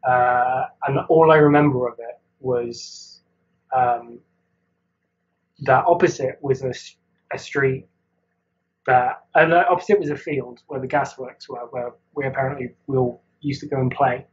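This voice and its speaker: A man's voice: medium pitch, fairly even intonation, measured pace, muffled recording. A man from Wales, chatting as a guest artist over a video call, rambling and hesitant with frequent laughs. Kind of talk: casual chat.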